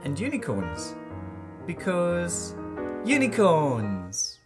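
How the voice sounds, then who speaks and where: Someone reading a kids picture book super slowly, playful and really animated up and down, music behind. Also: mid-range voice. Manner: deliberate, playful, drawn-out phrasing for young children. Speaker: children's story reader. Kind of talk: expressive children's picture-book read-aloud. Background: music and sound effects.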